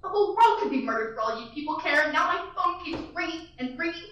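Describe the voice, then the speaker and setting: A woman's voice: very high-pitched, animated, quick pace, fairly bright tone. A student actress playing a nervous, demanding bedridden woman who stammers and frets, recorded from far off in an echoey room. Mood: indignant. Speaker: student actor. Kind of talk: school radio play acting.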